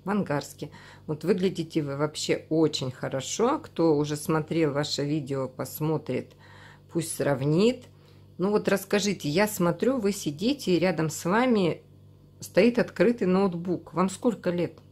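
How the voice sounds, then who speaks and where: A woman's voice: on the low side, a little slow, darkish tone, slightly rough. A woman asking questions in a conversational interview, prompting and echoing back the answers. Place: indoor room.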